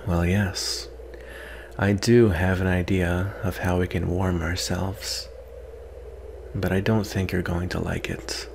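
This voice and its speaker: Guy doing a proud jaded vampire for asmr roleplay, soft n dry, really slow deep voice, some noise behind it. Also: flat delivery, vocal fry, heavily acted. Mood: dry, wry. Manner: soft-spoken, dry, sighing, disdainful. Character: proud, sardonic captive male vampire, wearily defensive. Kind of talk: ASMR roleplay, acted monologue.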